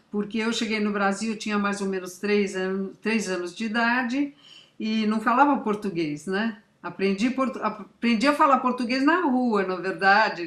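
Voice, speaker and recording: A woman's voice: mid-range voice, fairly bright tone. An older woman from Italy, a guest writer in a casual interview, reflective and hesitant as she recalls. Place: library event. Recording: echoey room.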